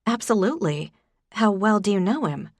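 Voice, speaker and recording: Perky young woman, emphatic and quick to agree, read by a female narrator, close and dry. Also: mid-range voice, expressive, a little mellow, no room sound, voice pushed up, close to an everyday voice. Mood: eager. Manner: eager, emphatic. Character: young woman, bright and eager.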